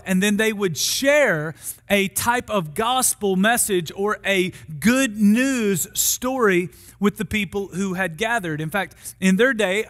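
A man in his forties with an American accent preaching a sermon in an emphatic, deliberate way. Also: sits high, a bit bright. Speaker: pastor. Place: large church auditorium stage. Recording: long hall reverb from stage sound system.

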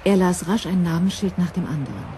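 A woman narrating a German crime radio drama in a measured, composed manner. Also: fairly low pitch, warm tone, slight background noise, lightly acted.

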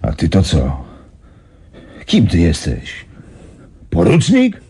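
A man's voice: deep voice, wildly swooping pitch, takes it slowly, mellow, slightly rough, exaggerated voice. A man playing a bitter mocking gangster. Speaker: gangster Kosot. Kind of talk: 1990s radio drama acting.

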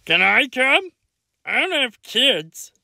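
A woman's voice: sits high, sing-song, slow, vocal fry, bright, forceful tone, dry recording, studio quality, heavily acted. A woman voicing a male cartoon barfly in a fan-made performance. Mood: eager.

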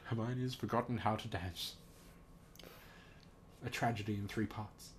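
A man's voice: fairly low pitch, unhurried. A young man reading a text aloud and adding casual, joking, sweary commentary in an amused way. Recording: noticeable background noise.

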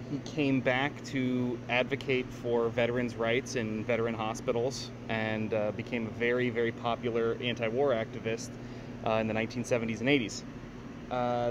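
A man from Northern California, an artist being interviewed outdoors, speaking in a relaxed, storytelling way with many uhs. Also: medium pitch. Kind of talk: casual on-street interview. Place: outdoors on a city street. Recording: dull, noisy street audio.

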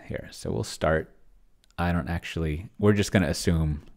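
Very low-voiced middle-aged man, gravelly. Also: normal speed, a little mellow. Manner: muttering and trailing off as he types. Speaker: host. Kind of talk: casual thinking-aloud screen-share commentary. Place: indoor home room at a desk.

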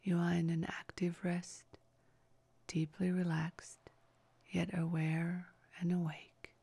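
A woman guides a relaxation meditation in a soft, breathy voice close to a whisper. Her pitch is fairly low and stays very even from phrase to phrase. She gives each instruction calmly and very slowly, in a soothing, measured manner. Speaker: yoga nidra meditation guide.

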